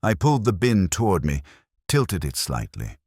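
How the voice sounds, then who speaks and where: A man with a very deep voice narrates a first-person detective story. His delivery is measured and melancholy, with lively movement in pitch. The sound is dry, with no room around it. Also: breathy edge, no echo. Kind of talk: first-person scripted literary mystery narration.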